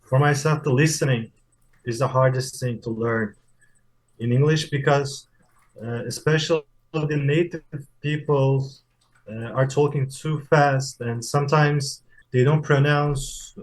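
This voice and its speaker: A man learning English, speaking deliberately with a few fillers. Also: mid-range voice, steady tone, poor audio.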